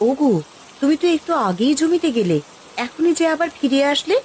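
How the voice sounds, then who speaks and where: A woman's voice: high voice, very animated, brisk, a little mellow, a little hiss or hum. A woman voicing a caring, inquisitive village housewife and mother in a cartoon. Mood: concerned. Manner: inquisitive, homely. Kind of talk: cartoon voice acting.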